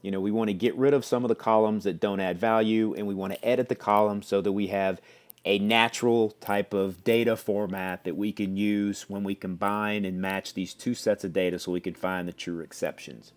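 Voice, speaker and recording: A man presenting a software demo, explaining step by step in a conversational way. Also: on the low side, steady tone, normal speed. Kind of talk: explanatory product demo walkthrough.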